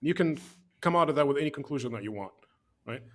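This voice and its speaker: A man co-hosting a casual video chat about games, talking at length and acting out quotes in a raised voice. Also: quick pace. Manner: animated, acts out quotes in a higher voice. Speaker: podcast co-host.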